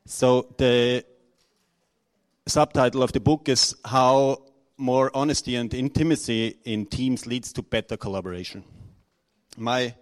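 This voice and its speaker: A middle-aged man from Austria, speaking unscripted to an audience, halting and nervous, with many restarts and fillers. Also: mid-range voice, fairly even intonation. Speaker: conference speaker.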